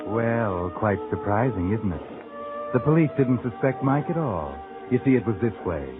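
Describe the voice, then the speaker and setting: Casting: male actor for sly American mystery narrator, animated low voice, old-radio sound with music underneath. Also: moderate pace, narrow, tinny audio, natural voice. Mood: knowing, wry.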